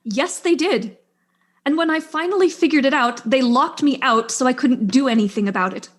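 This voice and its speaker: A woman's voice: high-pitched, moderate pace. A woman playing a proud, weary widowed aerospace engineer, speaking with dry, exasperated formality in a stage-style mystery drama. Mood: bitter.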